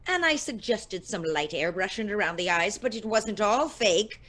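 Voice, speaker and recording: A woman's voice: mid-range voice, animated, normal speed, fairly bright tone. A woman playing a vain, touchy older music legend, haughty and theatrical, in a comedic audio drama. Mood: defensive.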